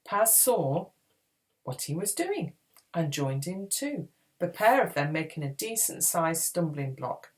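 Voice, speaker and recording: A woman reading a children's adventure book aloud in a dramatic, expressive storytelling style. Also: low-pitched, unhurried. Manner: expressive, acts out dialogue. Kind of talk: dramatic read-aloud narration.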